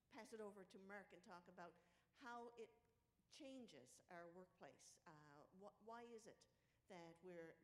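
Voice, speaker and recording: Administrator woman in a reverberant hall. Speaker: university administrator. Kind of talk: answering an audience question. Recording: hall reverb with faint rumble.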